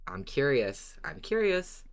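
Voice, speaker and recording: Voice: mid-range voice, very animated, slow, smooth. A podcast co-host in casual, conversational fan discussion.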